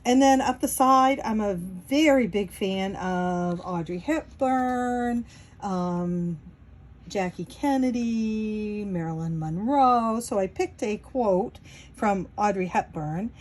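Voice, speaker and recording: A woman's voice: mid-range voice, takes it slowly, a little mellow. A woman in her sixties with a New England accent, chatting casually to camera at home with many fillers. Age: 60s. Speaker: vlogger and Etsy seller. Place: home, at a wooden table.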